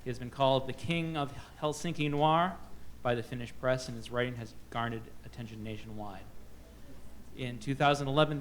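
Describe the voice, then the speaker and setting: A man's voice: medium pitch, slight background noise. A man moderating a book festival panel, reading out author introductions with frequent fillers and restarts. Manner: halting, many fillers and restarts.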